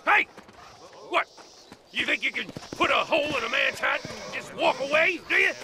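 An actor playing a belligerent, hot-tempered frontier heckler in a western game, shouting taunts. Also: male voice, very high voice, expressive, moderate pace, background noise. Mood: enraged. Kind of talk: video game western acting.

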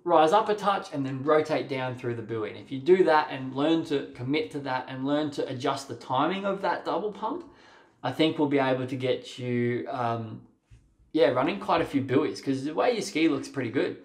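A man's voice: mid-range voice, expressive, moderate pace. A young man giving waterski coaching over video, direct and encouraging, often saying "mate" and "sort of". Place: small room with a plain wall. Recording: roomy echo.

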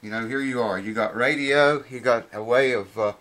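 A middle-aged man giving a casual hands-on review to camera, informal and unhurried, thinking aloud as he goes. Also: medium pitch, a bit bright, slight background noise, poor audio. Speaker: product reviewer. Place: small cluttered indoor workshop room.

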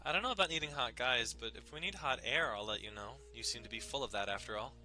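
A man's voice: mid-range voice, quick pace, bright, forceful tone, soft background music. A man voicing a snarky young little sister with a casual, teasing delivery, in a visual novel reading.

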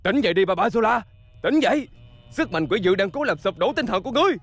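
A man's voice: sits high, lively intonation, fast talker. A man voicing a pompous, melodramatic cartoon superhero with grandiose, declamatory delivery. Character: pompous, melodramatic male superhero fighting evil. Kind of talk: cartoon dub acting.

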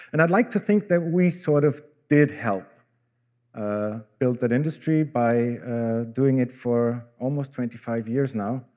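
A middle-aged man with an Austrian German accent speaks from a conference stage in a mellow, darker voice. His talk is relaxed and self-deprecating, dotted with fillers and restarts. He presents as a professor. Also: mid-range voice. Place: large dark conference hall, on stage.

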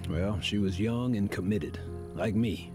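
A gruff middle-aged fugitive rebel, voiced by a man in a video game, talking in a low, folksy, wistful way and calling the listener "young blood". His voice sits on the lower side. Clear game music plays under his words. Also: a little slow, close to an everyday voice.

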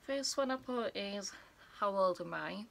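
A woman of twenty-one chatting casually to a phone camera in her bedroom as a vlogger, relaxed with many fillers. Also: mid-range voice, unhurried, creaky. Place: small bedroom indoors.

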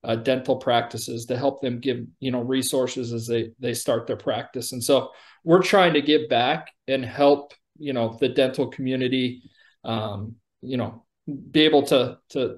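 Man in his forties, mid-range pitch, plain-spoken, informal product demo, video call. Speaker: company chief executive giving a product demo. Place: indoor room on a video call. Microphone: video call microphone.

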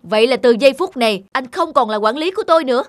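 High-pitched, plaintive young singer. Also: male voice, animated, fast talker, fairly bright tone. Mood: indignant. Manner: plaintive, theatrical. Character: young male singer, weary, plaintive, longing dreamer. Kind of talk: cartoon voice acting.

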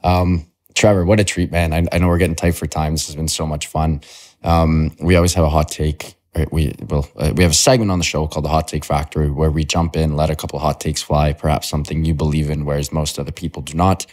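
Very deep-voiced upbeat young podcast host. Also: male voice, level tone, quick pace, darkish tone. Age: young adult. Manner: upbeat, friendly, hype-y host banter. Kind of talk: podcast interview and outro. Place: bright room at home. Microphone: podcast mic in front.

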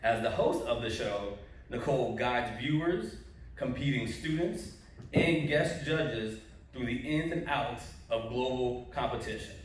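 A man reading a measured, formal introduction of an award recipient. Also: medium pitch, lively intonation, slight background noise. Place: large indoor hall, to a seated audience. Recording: echoey hall from far off.

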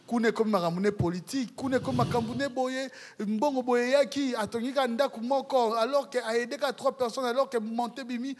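High-voiced man answering at a press table. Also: fairly even intonation, a little fast, few pauses, soft background music. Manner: rambling, drops to murmurs then rises, sings phrases. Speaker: project representative at a press conference. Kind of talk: answering questions, mixing French and Lingala. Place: hall with bookshelves, seated at a panel table. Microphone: handheld mic.

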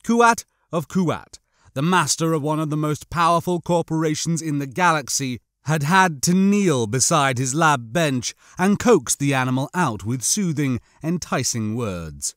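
A man reading a science fiction audiobook as scripted, expressive narration. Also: a little high, measured pace, dry recording, clean studio sound.

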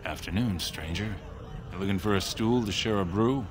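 A man voicing a gruff, weary dwarf warrior in a video game, speaking in a terse, blunt way. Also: fairly low pitch, normal speed, a little hiss or hum, close to an everyday voice, rough recording. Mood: gruff, friendly. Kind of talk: video game dialogue acting.

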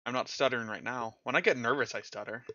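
Bright-voiced male game streamer. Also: medium pitch, fast talker, no echo, muffled recording. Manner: stutters at times, self-mocking. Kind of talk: casual chat while playing a game.

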